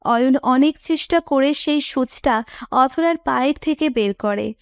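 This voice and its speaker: A woman's voice: high voice, a little slow, clear, resonant tone, no echo, studio quality. A woman narrator reading a scripted romantic story.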